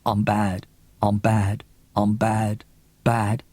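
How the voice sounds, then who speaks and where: Man acting a gruff, low, brooding young loner. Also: completely flat delivery, very slow, darkish tone, no room sound. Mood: despairing. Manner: muttering, curt, rough.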